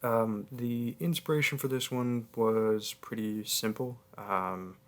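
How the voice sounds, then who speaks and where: A soft-spoken man giving a casual sign-off to listeners, earnest and a little hesitant. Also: on the low side, steady tone, slow. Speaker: audio roleplay creator.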